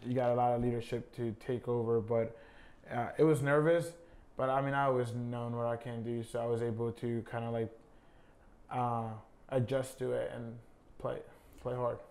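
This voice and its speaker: Young man from Honduras, flat voice. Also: medium pitch, normal speed. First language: Spanish. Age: young adult. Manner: relaxed, many fillers like "I mean" and "uh". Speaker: college soccer player, guest. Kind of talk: casual interview.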